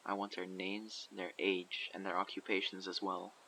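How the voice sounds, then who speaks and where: A man voicing a guarded survivor leader who recruits people for a community, asking measured, probing questions in an audio roleplay. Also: low voice, little pitch movement, pushed and bright, slight background noise, rough recording. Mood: calm, probing. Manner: measured, probing, matter-of-fact. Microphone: USB condenser microphone.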